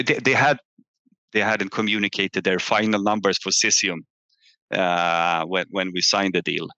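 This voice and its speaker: A man presenting company results as an executive on a call, halting and often repeating words as he searches for phrasing. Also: low-pitched, bright, forceful tone, clean tone. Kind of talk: earnings call presentation and answers. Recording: muffled, echoey room on online call.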